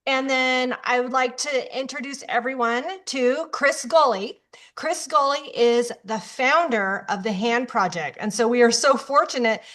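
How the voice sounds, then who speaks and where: Higher-voiced woman moderating a call. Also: unhurried, a bit bright. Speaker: meeting moderator. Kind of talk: casual meeting moderation. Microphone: video call.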